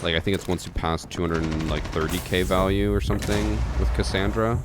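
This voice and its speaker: A man streaming a shooter game, chatting calmly with his viewers and commenting on his play in an unexcited way. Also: deep voice, fairly quick, very noisy. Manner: calm, unexcited. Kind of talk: casual gameplay commentary with chat. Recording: game gunfire audible behind voice.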